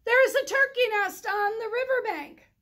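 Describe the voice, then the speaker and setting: A woman voicing a loud, eager, teasing sheep in a storybook, bellowing and calling out. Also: very high-pitched, moderate pace, pitched above the performer's own voice.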